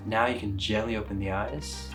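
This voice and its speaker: A young man, a meditation coach, hosting a reaction video, energetic in the intro and calm when guiding breathing. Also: lowish voice, normal speed, music in the background.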